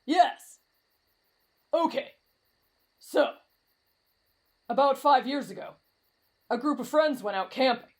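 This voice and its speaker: A woman's voice: high-pitched, lively intonation, takes it slowly, pauses often. A woman voicing a brash, reckless teenage boy in an anime-style roleplay drama, cocky, blunt and dismissive. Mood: matter-of-fact, ominous. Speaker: voice actor in roleplay audio.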